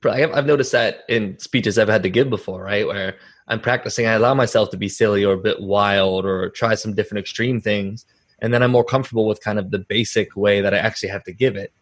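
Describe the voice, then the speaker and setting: A man's voice: on the low side, fairly even intonation, fast. A man speaking as an interviewer in a conversational style, reflecting on his own experience as he goes.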